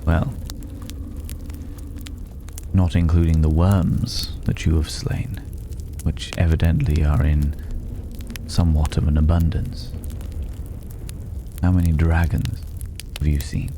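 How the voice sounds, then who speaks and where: An extremely deep male voice , put on by a man, plays a cultured, reclusive stranger in a fantasy ASMR roleplay. He speaks slowly, with thoughtful pauses and soft drops toward a whisper. Clear background noise sits behind the voice. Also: level tone, heavily acted. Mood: curious. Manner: measured, pausing, philosophical, lapsing into breathy whispers. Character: mysterious, cultured, solitary male stranger living among dragon's hoard.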